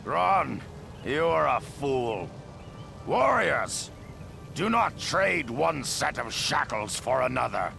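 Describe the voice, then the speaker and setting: An actor playing a grim, proud and vengeful beast-warrior rebel leader in a video game, with a deep gravelly growl and a terse, commanding delivery. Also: male voice, big pitch swings, slow, over loud music, heavily acted. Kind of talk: dramatic video game acting.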